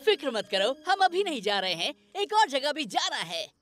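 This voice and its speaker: A confident young cartoon boy hero with a very high, swooping voice. He is friendly, upbeat and encouraging, with loud music behind him. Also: very animated, normal speed. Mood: reassuring. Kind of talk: animated cartoon dub acting.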